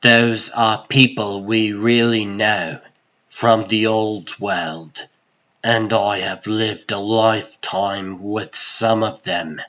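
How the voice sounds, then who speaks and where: A man voicing a weary, resolute sorcerer in a comic dub, speaking with husky, restrained firmness. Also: fairly low pitch, fairly even intonation, a bit bright, lo-fi sound. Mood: quietly resolute. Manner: restrained, measured, firm. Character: weary, resolute sorcerer standing up to an old friend. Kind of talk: dramatic comic-dub acting.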